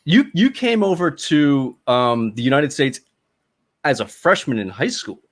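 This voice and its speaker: Lively-pitched man hosting a casual podcast. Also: unhurried. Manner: laid-back, laughing, reactive. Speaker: podcast host. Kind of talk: casual chat.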